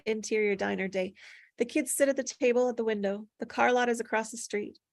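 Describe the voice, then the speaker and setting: A woman's voice: fairly high pitch, fairly even intonation, fairly quick, gravelly, no echo. Woman reading out script directions, plain delivery, stumbles a bit, dry close sound. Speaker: stage directions reader. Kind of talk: table read of screenplay directions.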